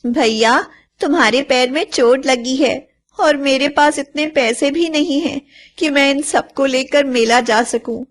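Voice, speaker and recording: A woman voicing a lonely, caring and anxious village mother, plaintive and expressive, in an animated moral story. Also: high voice, a little slow. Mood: worried.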